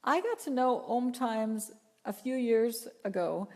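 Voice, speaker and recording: A woman voice teacher answering viewer questions live, talking in an unhurried, earnest way and often repeating or restarting her phrases. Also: a little high, animated, big-room sound. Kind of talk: live Q&A talk, reading chat questions.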